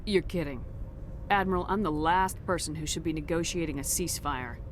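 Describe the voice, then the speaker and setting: A woman's voice: deep voice, a little fast, slight background noise, natural voice. A woman playing a tough, blunt military commander in a video game, curt, cool and assertive. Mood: skeptical.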